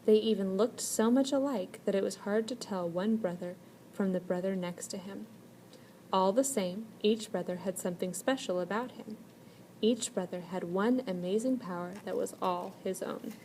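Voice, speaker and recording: Mellow woman reading a children's story, noisy recording. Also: mid-range voice, normal speed. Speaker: storyteller reading a picture book aloud. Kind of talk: expressive children's story read-aloud. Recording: clear background noise.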